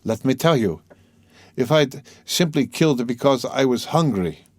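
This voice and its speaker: A man reads as a young male killer tormented by guilt, in a storybook drama. He is bitter and self-loathing, speaking in agitated, halting bursts in a close, dry recording. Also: medium pitch, a little mellow, dry recording, pitched above the performer's own voice, lightly acted. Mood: bitter, urgent. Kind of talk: dramatic audiobook character reading.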